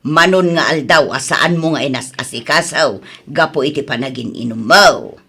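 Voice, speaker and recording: A man's voice: a little high, normal speed, bright, forceful tone, breathy edge, heavily acted. A man playing a reproachful villager who scolds and complains in a comedy radio drama.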